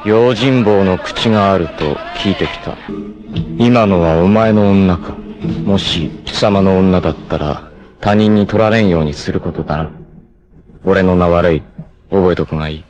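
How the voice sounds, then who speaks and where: A man's voice: low-pitched, moderate pace, darkish tone, lo-fi sound, faint music behind, close to an everyday voice. A man playing a proud, vengeful martial-arts warrior in a 1980s anime, cool, ruthless and theatrical in delivery. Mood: cool menace. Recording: noisy old anime clip compilation.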